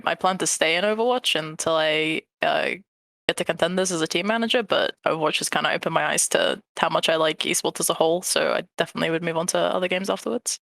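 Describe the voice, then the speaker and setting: A young adult team manager whose voice is often heard as a girl's, speaking in a measured, thoughtful way on a casual panel. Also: mid-range voice, vocal fry, sharp tone, dry recording. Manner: measured, thoughtful, some ums.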